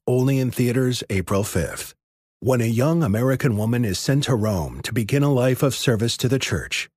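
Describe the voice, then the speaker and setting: A man's voice: mid-range voice, slightly rough, no room sound, clean studio sound. A man narrating a scripted, dramatic film promo as an announcer. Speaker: movie trailer announcer.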